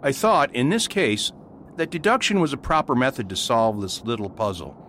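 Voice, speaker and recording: A man playing a gentle, thoughtful parish priest in a radio play, speaking in a mild, measured, consoling way. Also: medium pitch, animated, close to an everyday voice. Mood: musing, amused. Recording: faint background noise.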